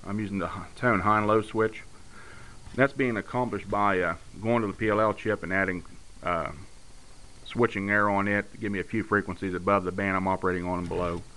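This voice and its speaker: A man with a fairly low voice gives a casual technical walkthrough. He explains things plainly, like a hobbyist showing his work. The sound is amateur handheld camera audio with noticeable background noise. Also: fairly even intonation, brisk, bright, forceful tone. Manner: matter-of-fact, explanatory. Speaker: radio hobbyist demonstrating his conversion work. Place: indoor room at a home radio bench. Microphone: handheld camera's built-in mic. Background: clear background noise.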